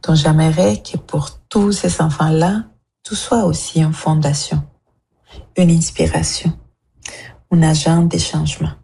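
A woman from Mexico reading a heartfelt, measured voicemail message to a radio show. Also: low-pitched, fairly even intonation, vocal fry, warm tone. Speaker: listener leaving a voicemail.

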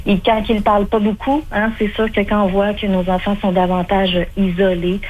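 A woman's voice: mid-range voice, flat delivery, moderate pace, narrow, tinny audio, a little hiss or hum. A middle-aged woman with a Québécois accent, a clinical spokesperson answering radio interview questions with many fillers and restarts. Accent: Québécois French.

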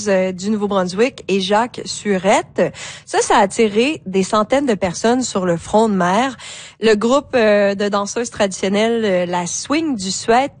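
A woman presenting radio news, hesitant with many fillers. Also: mid-range voice. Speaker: radio host and reporter.

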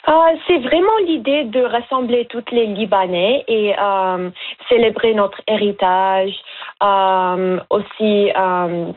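Young woman, from Lebanon, radio guest, earnest, hesitant, mid pitch, tinny phone line. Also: takes it slowly. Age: young adult. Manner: earnest, hesitant with many fillers. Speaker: guest, veterinary student.

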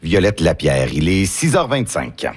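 Deep-voiced radio host. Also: male voice, big pitch swings, loud music behind the voice. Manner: brisk, smooth anchor delivery. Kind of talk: radio news hosting.

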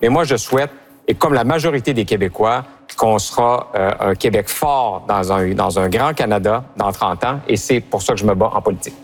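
A man's voice: fairly low pitch, moderate pace. Man, young, Quebec French accent, debating, firm, repeats his points. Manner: firm, hammering repetition. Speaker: party leader in a debate. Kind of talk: debate argument.

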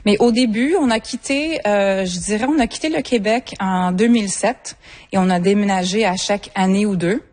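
A middle-aged woman from Montreal, a native English speaker, answering interview questions in a relaxed way with many fillers and restarts. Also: mid-range voice, moderate pace. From Montreal, Quebec. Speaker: guest, association coordinator.

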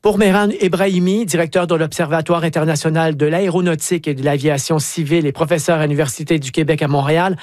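A man's voice: fairly high pitch, steady tone, fast, few pauses, a little mellow, no room sound. A man reading a scripted news report as a reporter.

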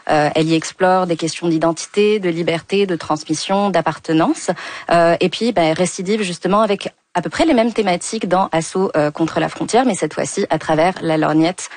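A woman's voice: lowish voice, normal speed, darkish tone. A woman speaking as a book commentator on a panel, explaining in a conversational way.

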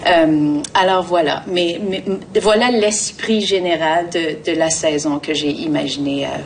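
A woman with a Canadian French accent gives conversational interview answers in a fairly low voice. She halts and repeats words as she searches. The mic is some way off and a faint rumble sits underneath. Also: unhurried, distant mic, poor audio. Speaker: theatre artistic director, interview guest.

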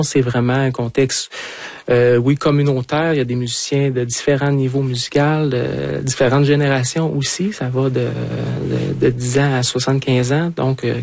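A man's voice: medium pitch, creaky, darkish tone, dry recording. A middle-aged man with a Quebec French accent, answering in a radio interview as a trumpeter and conductor, hesitant with many fillers and restarts.

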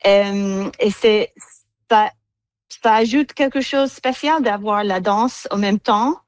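A woman's voice: mid-range voice, slow, no room sound. A woman with an English accent, a cellist speaking French as a guest, hesitating with many fillers and slipping into English words. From United States. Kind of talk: interview answers.

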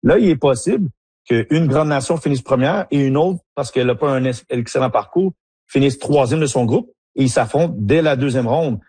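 Man, soccer analyst, husky voice, dry close sound, hesitant, many fillers. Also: medium pitch, normal speed, warm tone, no room sound. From Haiti. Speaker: soccer analyst and former player. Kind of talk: radio interview answers.

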